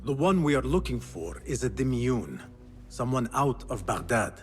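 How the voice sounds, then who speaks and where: An actor playing a determined, earnest young assassin in a video game, speaking formally and intently. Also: male voice, medium pitch, lively intonation, moderate pace, soft background music, lightly acted. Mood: reporting. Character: determined, earnest young male assassin, former street thief. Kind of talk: video game cutscene acting.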